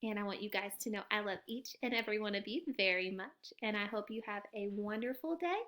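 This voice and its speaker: A woman reading a children's story aloud as a storyteller, with expressive, emphatic narration. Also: medium pitch, fairly quick.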